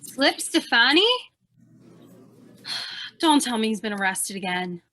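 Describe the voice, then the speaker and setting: An actress playing a snappy, sardonic newspaper photographer in an old-time radio drama, with a sharp, wry delivery. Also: female voice, fairly high pitch, very animated, slow, exaggerated voice. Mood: skeptical.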